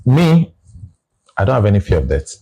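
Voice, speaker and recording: A man in his forties with a Nigerian English accent, talking as an interview guest. His voice sits low, with a mellow, husky tone. He reflects aloud in an easy conversational way. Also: sing-song, normal speed. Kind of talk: casual interview chat.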